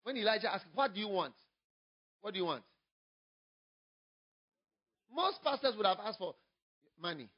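A man with a Ghanaian English accent, a pastor preaching a sermon, moving from quiet storytelling to loud, emphatic preaching. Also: sits high, expressive, fairly quick, dull audio.

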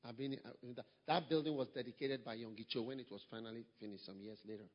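Husky man preaching in an echoing hall. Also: medium pitch, fairly even intonation, quick pace. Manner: emphatic, repetitive preaching with surges in volume. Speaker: pastor. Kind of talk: sermon. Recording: long hall reverb, dull sound.

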